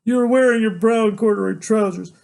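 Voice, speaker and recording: A man's voice: sits high, a little fast, creaky, a little mellow, voice pushed up. A man voicing a terrified, anguished boy in an audiobook, desperate and pleading as he confronts his father. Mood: shaken, urgent. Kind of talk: dramatic audiobook character acting.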